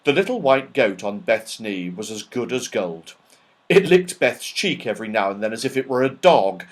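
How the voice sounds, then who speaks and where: A man narrating a children's story as an audiobook reader, in an expressive, dramatic style. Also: medium pitch, moderate pace.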